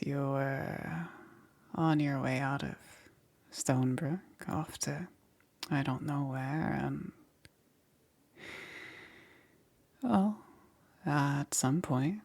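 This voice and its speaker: A woman playing a gentle witch caring for a stranger in a soothing ASMR roleplay, breathy and often dropping into a whisper. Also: sits low, exaggerated voice. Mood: calm, reassuring. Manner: unhurried, soothing, checking in with short murmurs. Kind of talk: soft-spoken ASMR roleplay.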